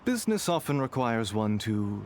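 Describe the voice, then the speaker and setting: An actor playing a smug, courteous, scheming business dealer, suave and formal, in a video game. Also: male voice, medium pitch, big pitch swings, normal speed, darkish tone, slight background noise, close to an everyday voice. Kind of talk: theatrical video game acting. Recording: game music behind.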